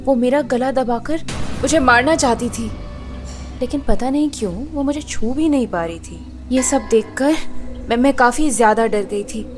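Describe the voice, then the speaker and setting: A woman acting a chatty, joking, easily flustered young woman in an audio drama, informal and expressive. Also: on the high side, normal speed, music in the background, natural voice. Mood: shaken.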